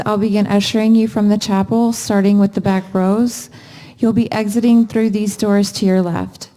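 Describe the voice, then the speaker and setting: A woman making formal, scripted announcements as a funeral director, measured and polite. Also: medium pitch, warm tone. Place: funeral chapel.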